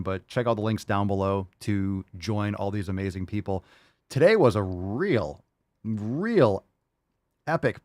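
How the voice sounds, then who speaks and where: A man's voice: lowish voice, dry recording, clean studio sound. A man hosting a fitness podcast, reading listener posts aloud and cheering them on in casual, profane, hyped-up chat. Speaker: podcast host.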